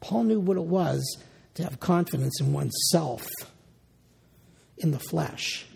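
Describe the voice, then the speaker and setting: A man delivers a verse-by-verse sermon, reading a passage and then restating it in the first person. His voice sits high for a man and has a husky, gravelly edge. It rings with the long reverb of a large hall. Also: animated, measured pace, darkish tone. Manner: reads scripture aloud then paraphrases it in first person. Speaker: preacher. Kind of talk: expository Bible sermon.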